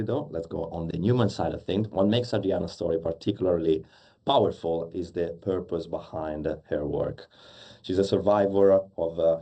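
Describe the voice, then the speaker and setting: A male host with a deep, mellow voice opens a casual live conversation. He talks in halting bursts, stacking fillers as he searches for words in a language that is not his first.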